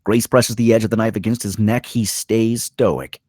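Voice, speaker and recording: A man's voice: fairly low pitch, steady tone, normal speed, darkish tone, dry recording, studio quality. A man narrating stage directions dramatically at a screenplay table read.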